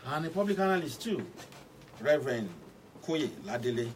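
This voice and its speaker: A man's voice: fairly high pitch, expressive, normal speed. Distant-miked Nigerian male radio host. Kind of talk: formal news commentary and interview introduction. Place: radio studio. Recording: distant mic with faint background noise.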